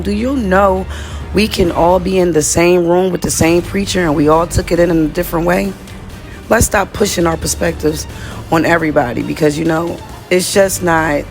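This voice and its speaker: A woman from the United States with a raspy, slightly hoarse voice, talking straight to her viewers in a casual diary-style monologue. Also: on the low side, a little mellow. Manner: chatty, direct, addresses viewers as y'all. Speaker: vlogger.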